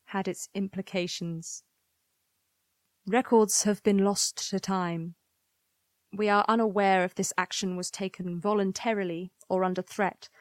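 A woman playing a grave, weary historian of a plant people, giving formal, measured testimony in an audio drama. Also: mid-range voice, no room sound, natural voice.

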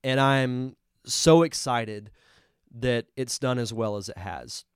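A man's voice: mid-range voice, slow, clear, resonant tone, no room sound, clean studio sound. A man with a congested voice hosting a live podcast, chatting casually and enthusiastically as he reviews a film. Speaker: podcast host.

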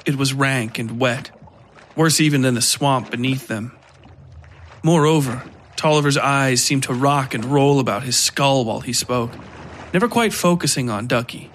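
A man narrating scripted dark horror fiction as a podcast storyteller. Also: medium pitch, moderate pace, a little hiss or hum.

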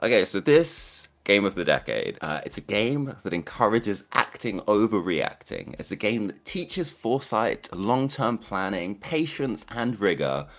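Deep, animated co-host man. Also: a little slow, few pauses. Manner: playful, animated and self-mocking. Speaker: podcast co-host. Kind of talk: casual podcast chat.

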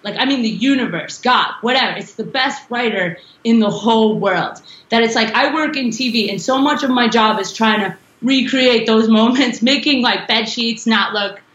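Giggly, rambling woman with a high voice. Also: moderate pace, hardly any pauses, a bit bright, roomy sound, muffled recording. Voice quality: strong voice. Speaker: musician guest. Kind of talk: casual interview chat.

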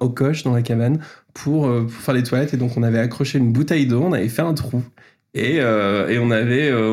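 A man's voice: medium pitch, a little mellow. Playful male podcast host. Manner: playful, teasing, lyrical intros. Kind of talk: casual interview hosting.

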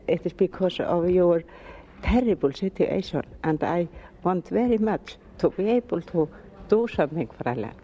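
A woman's voice: on the low side, animated, normal speed, dark tone, husky. A woman from Iceland with an Icelandic accent, a conference attendee who speaks English hesitantly while searching for words. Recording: dull recording with faint background ambience.

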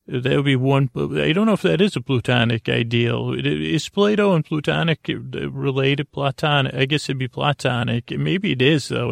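A man hosting a bedtime podcast in a meandering, halting monologue full of whimsical tangents, with a creaky voice. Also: mid-range voice, no room sound, studio quality. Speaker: podcast host.

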